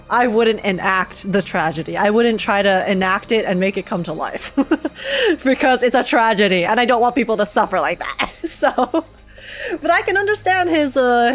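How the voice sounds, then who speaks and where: A woman streamer giving casual, giggly, informal live reactions to a game's story. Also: mid-range voice. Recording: game music behind the voice.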